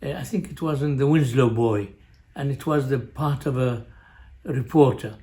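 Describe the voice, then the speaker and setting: An older man with an Arabic accent telling a family story in a halting way, full of pauses and restarts. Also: mid-range voice, dark tone. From Jordan. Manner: halting, many uhs and restarts, chuckles at own story. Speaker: family show guest, father. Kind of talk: storytelling, casual family chat.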